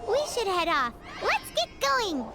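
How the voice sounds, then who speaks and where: Very squeaky little fairy girl. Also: huge pitch swings, unhurried, over loud music, exaggerated voice. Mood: cheerful, helpful. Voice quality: squeaky, childlike. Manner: chirpy, refers to herself in third person. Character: tiny cheerful childlike fairy girl guide. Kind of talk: video game character dialogue.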